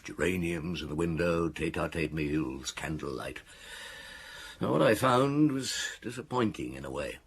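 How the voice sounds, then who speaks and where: An actor in an audio drama playing a shrewd, patient middle-aged police chief inspector with a measured, dry, questioning manner. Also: male voice, a bass voice, lively intonation, breathy edge, exaggerated voice. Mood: reflective. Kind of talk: audio drama acting with first-person narration.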